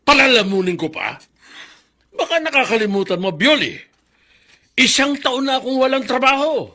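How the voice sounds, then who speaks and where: A man's voice: very high voice, expressive, normal speed, sharp tone, heavily acted. A man playing a stern, unforgiving older father and grandfather, scolding harshly in a Filipino radio drama. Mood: bitter, cold.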